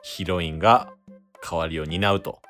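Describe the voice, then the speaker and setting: A man's voice: low voice, steady tone, moderate pace, fairly bright tone, faint music behind. A man with a Kansai accent bantering casually and comically as co-host of an improvised radio drama, readily giggling. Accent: Kansai Japanese. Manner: giggly, breaks into laughter often.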